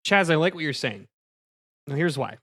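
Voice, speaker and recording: Slightly high-voiced male comedy moderator. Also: expressive, moderate pace, pushed and bright. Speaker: moderator of a comedy debate podcast. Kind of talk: improvised comic banter in role as a boss.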